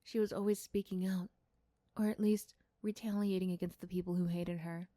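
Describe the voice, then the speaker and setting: A soft-spoken woman giving scripted second-person story narration in an ASMR roleplay style. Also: on the low side, flat delivery, moderate pace, no room sound, clean studio sound. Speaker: storyteller and voice performer.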